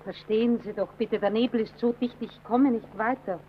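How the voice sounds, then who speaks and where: A woman's voice: a little high, steady tone, moderate pace, lightly acted. An actress playing a polite, persistent young woman sailor, pleading and curious, in a German radio drama. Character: determined, polite young female stranded sailor, coaxing and curious. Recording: dull old radio recording.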